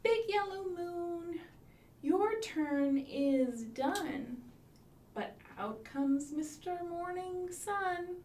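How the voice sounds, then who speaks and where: A woman's voice: extremely high pitch, expressive, drawn-out words, darkish tone, slight background noise. A woman hosting a children's storytime, explaining books and rhymes simply for young listeners. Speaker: children's librarian, storytime host.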